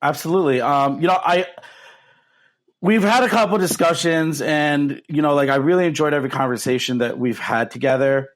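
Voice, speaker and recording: A man's voice: fairly high pitch, fairly quick. A man hosting an interview podcast, friendly and a little hesitant, with many fillers. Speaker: podcast host. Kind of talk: casual interview.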